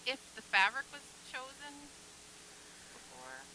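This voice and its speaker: A woman's voice: fairly high pitch, sing-song, a little slow, sharp tone, poor audio. A woman guest quilt designer in a casual chat, talking in an easygoing, hesitant way with frequent ums.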